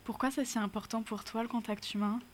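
A woman's voice: on the high side, steady tone, brisk. A woman interviewing a musician, asking short, friendly questions.

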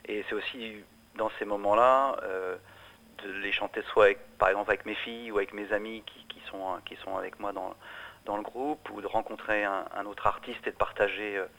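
A man's voice: lowish voice, fairly even intonation, fairly quick, bright, forceful tone, low-quality recording. A middle-aged man, a musician, answering interview questions in a hesitant way, with many restarts and fillers. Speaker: musician guest.

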